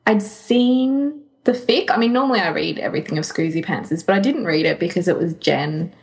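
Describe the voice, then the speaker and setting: A woman co-hosting a podcast in casual chat, informal and giggly, quick to react as she gives recommendations. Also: fairly low pitch, vocal fry. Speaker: podcast co-host.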